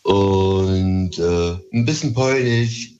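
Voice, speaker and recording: Guest, Berlin man, Turkish first language, slow halting delivery with many fillers, lowish voice, dull lo-fi recording. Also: a little mellow. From Berlin, Germany. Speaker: guest, DJ and producer. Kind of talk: casual interview chat.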